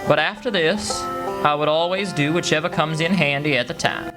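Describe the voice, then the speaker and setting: An actor playing a plain-spoken country lad narrating his own troubled story, folksy and halting. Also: a little high, close to an everyday voice, low-quality recording. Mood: troubled, reflective. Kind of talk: first-person radio play narration. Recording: live stage, guitar music behind.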